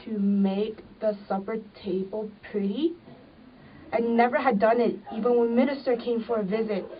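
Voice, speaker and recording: A woman's voice: on the high side, steady tone, normal speed, lightly acted. A woman playing a stern, aggrieved wife in an amateur drama, scolding and reproachful. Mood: cold suspicion. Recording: dull, distant microphone.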